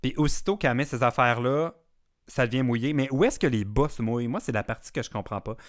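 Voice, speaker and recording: A man with a Quebec French accent, hosting a casual podcast and telling stories in an animated way. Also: mid-range voice, fast talker. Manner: animated storyteller, laughs often. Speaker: podcast host.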